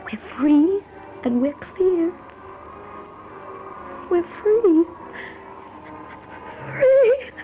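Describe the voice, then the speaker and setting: A grieving wife in old radio drama. Her high voice swings widely and moves extremely slowly, with music behind it. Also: big pitch swings, warm tone, exaggerated voice, rough recording. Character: distraught grieving wife in a stage tragedy. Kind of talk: 1950s radio drama acting.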